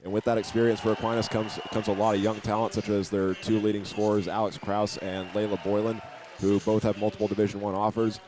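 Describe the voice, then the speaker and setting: Fast, low-voiced American sports announcer. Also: male voice, flat delivery, noisy background. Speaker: play-by-play sports broadcaster. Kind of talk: radio pregame commentary.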